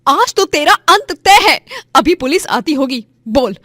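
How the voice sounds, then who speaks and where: A woman's voice: sits high, big pitch swings, a little slow, pushed and bright, no echo, studio quality, pitched above the performer's own voice, full character voice. A woman voicing a pious, brave and righteous village food-stall owner, fervent and emphatic, in a cartoon moral story. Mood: furious.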